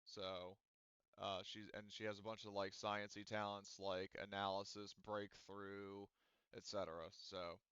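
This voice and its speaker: A man's voice: lowish voice, flat delivery, measured pace, a bit bright, clean tone, no room sound, muffled recording. A man running a tabletop game as game master, talking casually with fillers and casual swearing.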